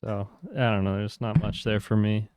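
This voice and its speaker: A man's voice: on the low side, steady tone, moderate pace, a little mellow, clear, resonant tone, no echo, clean studio sound. A man co-hosting a casual album-review podcast, gushing with enthusiasm and swearing freely, his voice worn from a long session. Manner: enthusiastic, swearing, laughing often. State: losing his voice. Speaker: podcast co-host. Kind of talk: casual music review chat.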